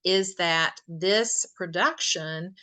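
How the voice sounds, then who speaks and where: A woman's voice: fairly low pitch, drawn-out words, bright, forceful tone. A woman co-hosting a casual livestream chat, agreeable and chiming in with confirmations. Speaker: co-host.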